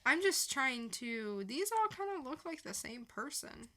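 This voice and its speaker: Woman, slow pace, bright tense tone, casual podcast chat, informal. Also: high-pitched, big pitch swings. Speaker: podcast co-host.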